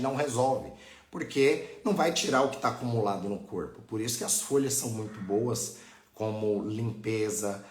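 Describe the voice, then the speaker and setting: A Brazilian man teaching informally on a live stream, didactic and often checking that listeners follow. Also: fairly low pitch. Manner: didactic, frequent checking tags. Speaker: religious teacher hosting a live online class. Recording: echoey, roomy sound.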